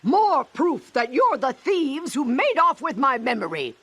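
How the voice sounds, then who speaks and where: A woman playing an imperious, hot-tempered fairy-tale queen in an animated game, bombastic and commanding. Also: sits high, measured pace, no room sound, full character voice. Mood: accusing.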